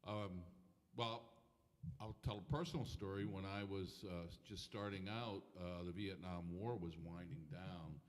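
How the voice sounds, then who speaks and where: Older man with a very deep voice. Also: fairly even intonation, measured pace. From Pennsylvania. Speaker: panelist, former sportswriter. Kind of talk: personal anecdote on a panel. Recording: hall reverb from a lecture room.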